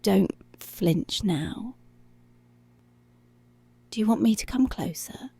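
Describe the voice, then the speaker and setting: Casting: actress for dominant, seductive policewoman in ASMR roleplay. Unhurried menace, gravelly fry, teasing commands that drop to a whisper. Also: mid-range voice, lively intonation, mellow, heavily acted. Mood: taunting, seductive. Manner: commanding, seductive, drawn-out taunting, slipping into whispers. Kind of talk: intimate ASMR roleplay, slow and breathy.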